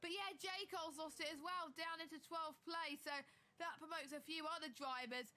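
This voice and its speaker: A woman with a cold, losing her voice, giving excited live commentary on a sim race. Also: extremely high pitch, fairly quick. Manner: excitable, building to shouts at close battles.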